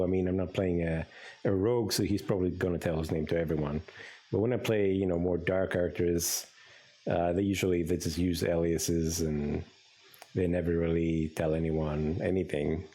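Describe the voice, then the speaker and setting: A man's voice: deep voice, warm tone, husky. A man with a Greek accent streaming a game, thinking aloud in a loose, rambling way with plenty of fillers. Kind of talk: casual live-stream commentary.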